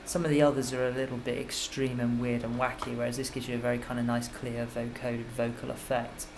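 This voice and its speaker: A man giving an informal, step-by-step software tutorial as a music technology teacher, explaining as he goes. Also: mid-range voice, flat delivery, fairly quick. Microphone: computer microphone. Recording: clearly noisy computer microphone recording.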